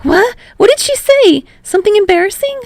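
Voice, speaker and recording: A woman narrator as a cheerful young man, lifted bright voice, eager, earnest, easily flustered, romance audiobook, dry close recording. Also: extremely high pitch, expressive, moderate pace, dry recording, pitched above the performer's own voice.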